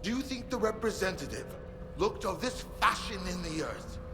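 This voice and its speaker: An actor playing a fervent, theatrical zealot who muses on death in declamatory, archaic phrasing in a video game. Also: male voice, high voice, animated, normal speed, over music. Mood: brooding, theatrical. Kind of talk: dramatic video game acting.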